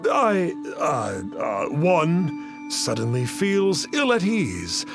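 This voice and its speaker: An actor playing a boastful, cowardly fake exorcist who speaks grandly and calls himself "one". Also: male voice, a little high, wildly swooping pitch, extremely slow, full character voice. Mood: flustered. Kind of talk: video game cutscene voice acting. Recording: game music behind.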